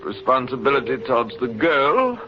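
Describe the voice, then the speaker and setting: A man playing a coolly taunting middle-aged kidnapper in a 1940s radio drama, with smug, formal, deliberate delivery. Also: medium pitch, sing-song, background noise, lightly acted. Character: tall sinister fifty-year-old kidnapper, coolly taunting experimenter. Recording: muffled vintage 1940s radio recording.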